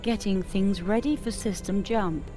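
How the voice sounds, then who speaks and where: A woman voicing a calm, obliging spaceship computer assistant in a measured, formal way. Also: medium pitch, over music, close to an everyday voice. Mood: calm, procedural. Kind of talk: scripted game voice assistant prompts.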